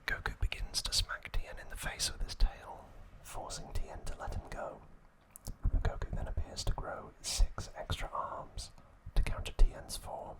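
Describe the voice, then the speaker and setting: The man you would hear on a late-night ASMR channel, whispering slowly through episode trivia to someone tucked up in bed, leaving deliberate gaps between phrases. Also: low-quality recording. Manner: hushed, unhurried, deliberate pauses. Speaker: ASMR host.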